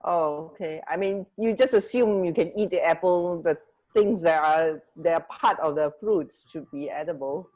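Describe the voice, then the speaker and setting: A woman's voice: sits low, normal speed, darkish tone, rough recording. Casual woman co-hosting radio chat. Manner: giggly, informal. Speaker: radio co-host. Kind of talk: casual chat, sharing trivia.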